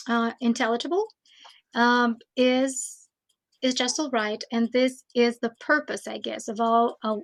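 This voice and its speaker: A woman giving a teaching talk, slow and thoughtful, pausing often with fillers. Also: fairly high pitch. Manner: slow, thoughtful, with many hesitations. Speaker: teacher trainer.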